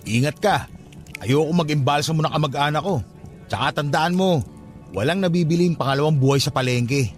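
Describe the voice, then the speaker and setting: A man's voice: a little high, moderate pace, long pauses, soft background music, natural voice. An actor playing a brash young man who teases and mocks his cousin in a radio drama. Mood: mocking. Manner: teasing, brash, mocking. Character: cocky, skeptical young funeral-parlor worker teasing his cousin. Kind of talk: radio drama dialogue, casual banter.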